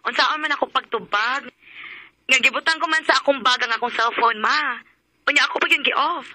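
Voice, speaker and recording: A woman's voice: high voice, normal speed, bright, forceful tone, slight background noise. An actress playing a playful, pouty young daughter in a radio drama, mixing English into her teasing talk. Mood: mild complaint.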